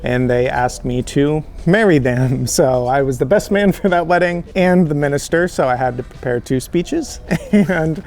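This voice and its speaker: Relaxed friendly man answering interview questions. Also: on the high side, measured pace, hardly any pauses, soft background music. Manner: friendly, relaxed, frequent ums and light laughs. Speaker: healthcare recruiter, interview guest.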